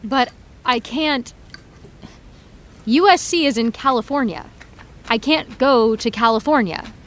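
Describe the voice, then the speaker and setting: A woman's voice: on the high side, fairly bright tone. An actress playing a young woman about to start college, evasive and sarcastic when her parents press her. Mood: defensive. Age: young adult. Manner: hesitant, deflecting, quick comebacks. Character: evasive teenage girl, awkward and sarcastic. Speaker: actor playing a college-bound daughter. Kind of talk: audio drama acting.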